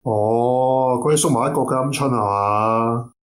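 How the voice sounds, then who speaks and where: A man's voice: medium pitch, a little slow, close to an everyday voice. A man acting a blunt, suspicious office schemer in a radio drama, speaking slangy Hong Kong Cantonese. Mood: blunt, probing. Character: blunt, suspicious man checking up on shady jobs. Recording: roomy echo with clear background noise.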